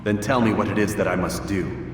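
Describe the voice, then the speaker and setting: An actor playing a proud, defiant assassin in a video game, speaking in a curt manner. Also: male voice, fairly low pitch, a little fast, noisy background, lightly acted. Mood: guarded. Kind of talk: dramatic video game cutscene acting.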